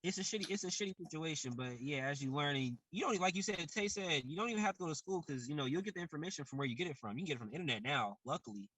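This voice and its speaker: Young podcast guy, fairly high bright voice, dry close mic, explains stuff with easy examples. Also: lively intonation, very fast, few pauses, husky, no echo, rough recording. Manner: loose, explains with everyday comparisons. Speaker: podcast co-host. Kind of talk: casual chat.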